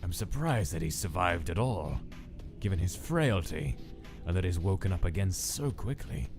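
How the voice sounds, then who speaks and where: A man's voice: low voice, animated, normal speed. A man playing a cold, irritable skeleton scientist who narrates his log in a formal, precise manner for a comic dub. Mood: clinical, wary. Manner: formal, precise, terse, exasperated when disturbed. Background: music.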